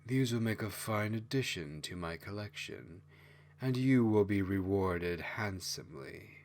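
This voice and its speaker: A man voicing a sinister, commanding dark mage villain with measured, formal speech in a fantasy audiobook. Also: on the low side, lightly acted. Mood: menacing.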